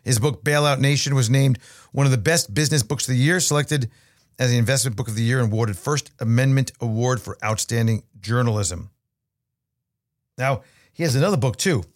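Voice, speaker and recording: A man's voice: mid-range voice, brisk. A man hosting a long-running interview podcast, talking in a friendly, rambling way with many restarts. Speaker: podcast host.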